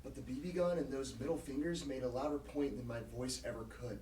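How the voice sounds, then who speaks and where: A man's voice: medium pitch, a little fast, warm tone, natural voice. A man playing an earnest, proud young student activist in an audio drama. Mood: impassioned, defiant. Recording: echoey, distant, faint background noise.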